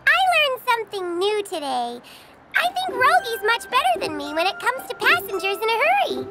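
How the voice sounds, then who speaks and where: A woman voicing a young female bus in an animated series who is blunt and teasing. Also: very high-pitched, normal speed, exaggerated voice. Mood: sincere. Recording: music bed underneath.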